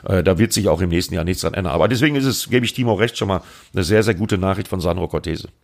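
A man's voice: low-pitched, rapid-fire, gravelly. A man co-hosting a motorsport podcast, explaining motorcycle racing news in casual chat. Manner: informed, explanatory.

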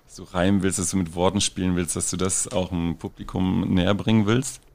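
A male interviewer speaks in a fairly low voice with a strong creak. He puts his questions conversationally, as if chatting with his guest. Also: little pitch movement, fairly quick, a little mellow.

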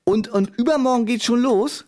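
A man voicing a dim, sulky sidekick in a comedy podcast, high and quacky, grumbling and belittling. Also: normal speed, mellow. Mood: sulky, dismissive. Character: dim, sulky, dismissive male sidekick with a squawky voice.

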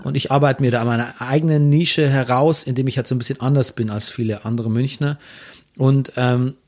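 A man's voice: medium pitch, fairly even intonation, normal speed, darkish tone. A man from Bavaria with a Bavarian accent, telling stories in casual conversation with frequent restarts. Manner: chatty storyteller, frequent restarts.

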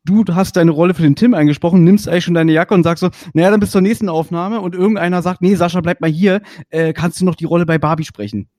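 A man's voice: high-pitched, steady tone, fast talker, hardly any pauses, darkish tone, no room sound, studio quality. A man hosting a podcast, asking chatty, detailed fan questions in a casual interview. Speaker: podcast host.

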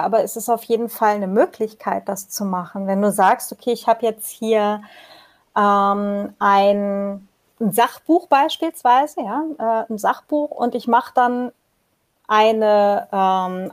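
Explaining woman, somewhat high voice. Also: unhurried, darkish tone. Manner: explains at length, many fillers and restarts. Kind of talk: casual discussion about audiobook publishing.

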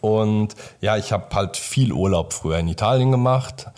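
Low-voiced young German man chatting casually. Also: normal speed, a little mellow. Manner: colloquial, slangy, enthusiastic. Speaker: podcast co-host. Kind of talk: casual chat.

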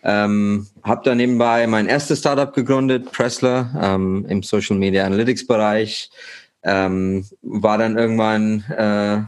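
Hesitant Indian-born man, low voice. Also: steady tone, unhurried, smooth. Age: 30s. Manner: hesitant, many fillers and restarts. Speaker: startup founder, guest. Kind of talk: podcast interview.

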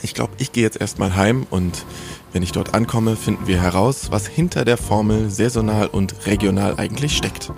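Very deep-voiced male podcast host. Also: moderate pace, creaky, over music. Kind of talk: scripted podcast narration.

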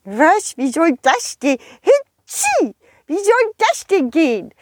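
A woman's voice: very high-pitched, wildly swooping pitch, slow pace, dry recording, studio quality, pitched above the performer's own voice, full character voice. A woman voicing a little snowman in a children's audio play, whiny, fretful and childlike, stammering and breaking into sneezes. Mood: helpless.

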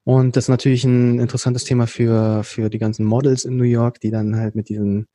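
A man from Berlin, a podcast guest, telling his story in a casual chat, often pausing with fillers and restarting sentences. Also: medium pitch, little pitch movement, dark tone, dry recording. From Berlin, Germany. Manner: explains at length with frequent hesitation fillers and restarts. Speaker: podcast guest, company co-founder.

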